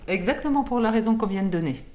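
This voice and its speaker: A woman's voice: medium pitch, expressive, fast, darkish tone, slight background noise. A woman from France, a singing teacher and author, explaining patiently in an interview with everyday examples and light laughs.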